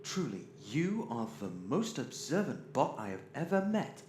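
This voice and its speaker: Performer as theatrical, sarcastic robot grumbler, very animated intonation, roomy sound, sci-fi audio drama. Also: mid-range voice, a little slow. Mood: grumbling. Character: sardonic, ranting, greedy, fussy robot crew member. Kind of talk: science fiction audio drama acting. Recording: roomy echo, faint noise.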